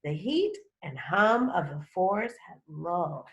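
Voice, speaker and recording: Female primary teacher, read-aloud: bright tone, unhurried, some creak, emphatic delivery. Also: lowish voice, very animated. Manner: deliberate, emphatic, questioning. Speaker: second grade teacher. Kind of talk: instructional read-aloud for children.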